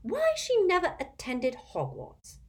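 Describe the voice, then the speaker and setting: A woman's voice: very high-pitched, wildly swooping pitch, a little slow, pitched above the performer's own voice, exaggerated voice. A woman voicing a haughty, spiteful, tipsy old witch aunt in a storybook, cackling and screechy, with an emphatic gossipy manner. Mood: haughty, relishing.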